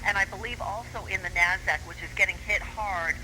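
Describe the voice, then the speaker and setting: A man with a high voice gives a fast live market report as a TV presenter. Clear noise runs through the broadcast. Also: fairly even intonation. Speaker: financial news presenter. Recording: noisy archived TV broadcast.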